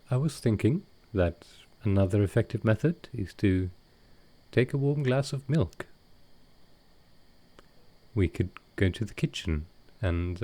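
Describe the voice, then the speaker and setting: A man playing a gentle, grieving vampire lord in an intimate audio roleplay, speaking tenderly and with some hesitation. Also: on the low side. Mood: gently teasing, affectionate. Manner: soft-hearted, hesitant, tender.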